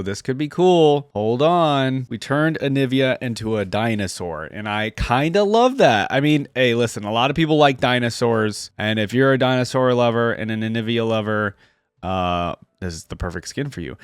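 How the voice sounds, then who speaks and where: A man's voice: mid-range voice, no room sound, studio quality. A man giving casual, rambling reaction commentary as a video host.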